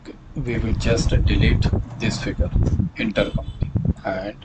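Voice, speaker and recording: A man narrating a hands-on software tutorial as a trainer, speaking haltingly with repetition and frequent self-corrections. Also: fairly low pitch, little pitch movement, very noisy.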